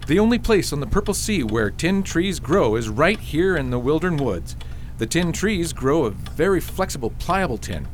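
An earnest, protective woodland father acted by a man in a radio fairy tale. His voice is a little high and very expressive, with faint noise behind it. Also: moderate pace. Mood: matter-of-fact. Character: practical, protective father, tin merchant in enchanted woods.

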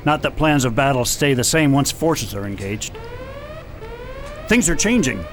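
A man's voice: medium pitch, big pitch swings, a little slow, noisy background. A man playing a hard-bitten, decisive space patrol commander in a science fiction radio drama, speaking in a blunt, folksy way. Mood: tense.

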